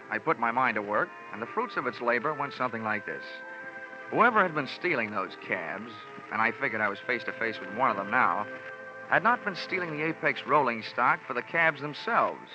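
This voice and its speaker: An actor playing a wry, shrewd, self-mocking insurance investigator who narrates a hard-boiled radio detective drama with deadpan delivery. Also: male voice, medium pitch, brisk, close to an everyday voice. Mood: wry, calculating. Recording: dull lo-fi radio sound. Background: music.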